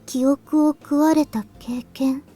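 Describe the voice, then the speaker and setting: Woman doing a high mellow anime schoolgirl for a visual novel, soft n questioning, earnest. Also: steady tone, normal speed, soft background music, natural voice. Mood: puzzled. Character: earnest schoolgirl, uncertain about lacking supernatural power. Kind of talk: Japanese visual novel voice acting.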